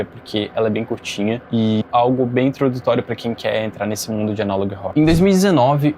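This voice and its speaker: A man's voice: lowish voice, darkish tone. A Brazilian man hosting and narrating an informative scripted video essay. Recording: faint background noise.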